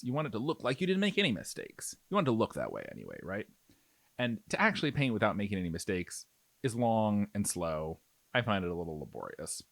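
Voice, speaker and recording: A man's voice: lowish voice, no room sound. A man giving a casual, explanatory tutorial, talking conversationally as if thinking aloud. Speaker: miniature painting tutorial host. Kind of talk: casual, explanatory tutorial narration over painting.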